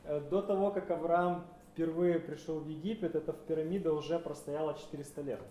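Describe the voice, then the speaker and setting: A man interprets a sermon live, phrase by phrase, hesitating and correcting himself along the way. His voice is mellow and sits a little higher than most men's. He is recorded in an echoing hall with faint ambience behind him. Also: fairly even intonation, fairly quick, a little hiss or hum. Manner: hesitant, with filler sounds and self-corrections.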